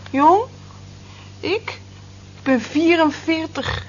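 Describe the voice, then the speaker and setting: A woman's voice: very high-pitched, drawn-out words, exaggerated voice. An actress in a radio drama playing a weary, discontented woman in her forties, reflective and plaintive. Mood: despairing. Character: weary, wistful working woman of forty-four, discontented. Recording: dull lo-fi old radio recording, hiss.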